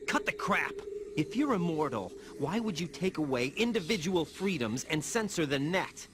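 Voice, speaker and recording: A man's voice: on the high side, sing-song, moderate pace, noisy background. A man playing a young soldier in a video game, challenging and questioning in agitated, defiant disbelief. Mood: agitated, disbelieving. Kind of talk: video game cutscene acting. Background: music.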